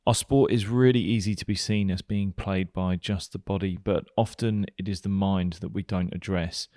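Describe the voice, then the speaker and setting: A man leading a guided meditation, giving gentle, unhurried instructions with pauses. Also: low voice, fairly even intonation, no echo, clean studio sound. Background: music.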